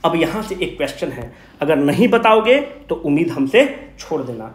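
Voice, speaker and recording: Male exam coach, very lively intonation, question-and-answer teaching style, roomy echo. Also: on the high side, normal speed. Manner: animated, rhetorical question-and-answer, addresses students familiarly. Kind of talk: informal exam revision lecture, asking and answering own questions. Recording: roomy, echoing sound.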